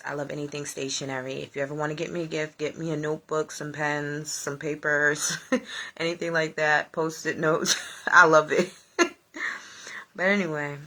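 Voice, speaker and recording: A woman whose first language was Spanish talks to her viewers in a loose, rambling livestream. Her voice sits low and carries a gravelly fry, yet its tone stays bright and forward. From United States, Honduran family. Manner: rambling, chatty, many fillers. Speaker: livestream host. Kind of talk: casual reflective live chat.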